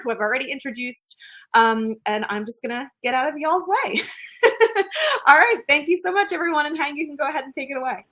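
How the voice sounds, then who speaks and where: Bright, upbeat young woman hosting. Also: on the high side. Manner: upbeat, giggly, casual. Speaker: webinar host. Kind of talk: webinar introduction.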